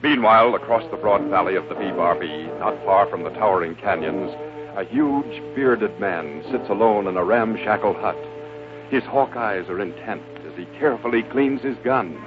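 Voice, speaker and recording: A man's voice: lowish voice, normal speed. An American man giving dramatic scripted narration for an old-time radio western. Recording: tinny vintage radio, music behind.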